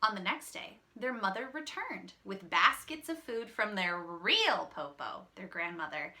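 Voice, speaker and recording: A woman's voice: medium pitch, animated, measured pace, pushed and bright. A woman reading a children's story aloud, dramatic and teacherly, with asides to the listeners.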